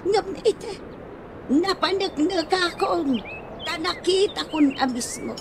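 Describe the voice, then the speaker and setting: A woman's voice: very high voice, slow pace, noisy background. An actress playing a reproachful woman betrayed by her indebted friend in a radio comedy drama, drawing out her scolding. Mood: reproachful, hurt.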